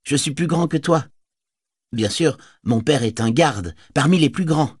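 A man voicing a proud, eager ten-year-old boy, a guard's son, who talks excitably and boastfully in an audiobook reading. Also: on the high side, a little slow, slightly rough, dry recording, clean studio sound, pitched above the performer's own voice. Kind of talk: audiobook character voice.

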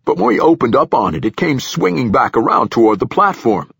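A man's voice: mid-range voice, big pitch swings, fairly quick, gravelly, a bit bright, breathy edge, no room sound, clean studio sound, voice pushed up, full character voice. A man voicing a flustered, defensive male station director in an audiobook, deferential and hedging with folksy phrasing. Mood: defensive, excitable. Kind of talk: audiobook character dialogue.

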